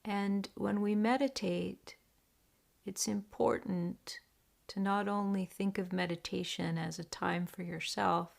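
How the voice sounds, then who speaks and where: Very slow, creaky-voiced woman guiding meditation. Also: medium pitch, steady tone. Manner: deliberate, measured, with long pauses. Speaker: meditation teacher. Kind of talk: guided meditation.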